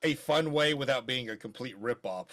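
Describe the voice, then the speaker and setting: A man's voice: mid-range voice, moderate pace, fairly bright tone. A middle-aged man from Maryland co-hosting a podcast, chatting casually with jokey, rambling asides. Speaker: podcast co-host. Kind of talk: casual chat.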